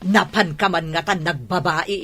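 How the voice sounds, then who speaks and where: A woman's voice: lowish voice, moderate pace, bright, forceful tone, faint music behind. A woman playing a suspicious, nagging middle-aged wife in a radio comedy drama. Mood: scolding.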